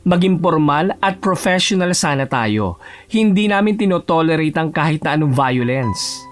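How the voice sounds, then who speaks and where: Man playing a stern, animated office executive. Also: a little high, moderate pace, faint music behind, natural voice. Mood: calm, strict. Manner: formal, authoritative, switches between Tagalog and English. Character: stern, composed male office executive, protective, gruff.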